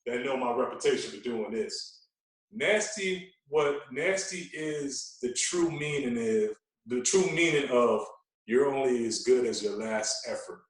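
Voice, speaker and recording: A man talking casually as a podcast guest, telling stories in an animated way and repeating phrases for emphasis. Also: medium pitch, measured pace. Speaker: guest, veteran radio personality. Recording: echoey room, distant from mic.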